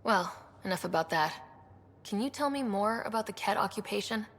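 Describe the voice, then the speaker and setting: Young female explorer, assured, direct, plainspoken, touch of fry, game cutscene, long reverb. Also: mid-range voice, animated, normal speed, fairly bright tone. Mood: curious, frank. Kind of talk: video game cutscene acting.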